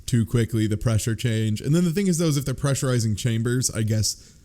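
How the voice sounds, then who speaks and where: A man's voice: medium pitch, fairly quick, warm tone. A man explaining things in a casual group chat, rambling and analytical, with blunt asides. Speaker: book club panelist.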